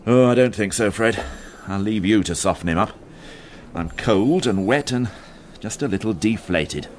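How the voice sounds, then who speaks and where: A male actor as a seasoned police inspector in a radio crime drama, dry and weary with a clipped, sardonic edge. His voice sits fairly low but moves about in a very animated way, over faint background noise. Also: normal speed, natural voice. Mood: weary, businesslike. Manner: formal, clipped, wryly sardonic.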